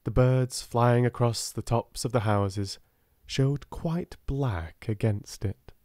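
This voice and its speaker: A man with a British accent reading a storybook aloud in a soft-spoken, gentle, expressive way. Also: medium pitch, takes it slowly, no echo, studio quality. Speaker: audiobook narrator.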